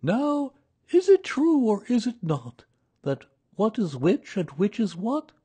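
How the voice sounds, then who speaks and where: Pooh, a man doing a slow, earnest little bear. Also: high voice, huge pitch swings, warm tone, breathy edge, pitched above the performer's own voice, heavily acted. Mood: reciting. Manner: hesitant, earnest, slow-spoken. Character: gentle, simple-minded male bear. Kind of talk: storybook reading.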